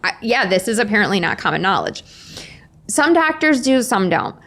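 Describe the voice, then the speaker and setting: Animated woman in her forties telling stories. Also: medium pitch, normal speed. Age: 40s. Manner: animated, acts out quoted dialogue. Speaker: author, podcast guest. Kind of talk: casual anecdotal storytelling. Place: indoors, in-person podcast recording.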